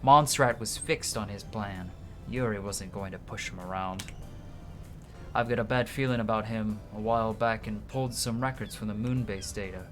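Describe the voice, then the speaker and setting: A man's voice: lowish voice, moderate pace, fairly bright tone. A man streaming a game, reading its dialogue aloud in a casual way and stumbling now and then, with side comments of his own. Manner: casual, stumbles and rereads as he goes. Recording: music behind the voice. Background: game music.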